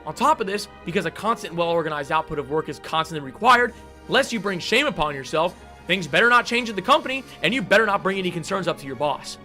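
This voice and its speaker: A man's voice: high voice, animated, quick pace, pushed and bright, faint music behind. A man narrating a scripted commentary video in an emphatic, blunt manner.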